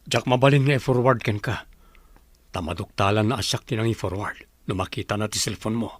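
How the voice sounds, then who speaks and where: A man's voice: on the low side, a little fast, slightly rough, dry recording. A man acting as a family friend who hesitantly passes on a secret in a radio-style drama, cautious and confiding. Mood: cautious, secretive.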